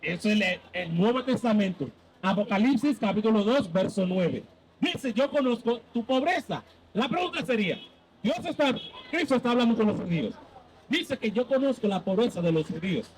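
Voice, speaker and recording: A man's voice: high-pitched, expressive, moderate pace, low-quality recording. A man leading a street Bible debate as a preacher, insistently quizzing and cutting in with questions.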